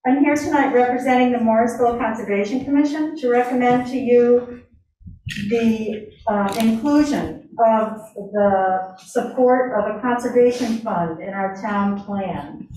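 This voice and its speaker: A woman's voice: fairly high pitch, unhurried, mellow, a little hiss or hum. A woman giving a public comment at a town meeting, reading prepared remarks with some hesitations. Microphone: standing at a floor mic in a large room. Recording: echoey room, voice from far off.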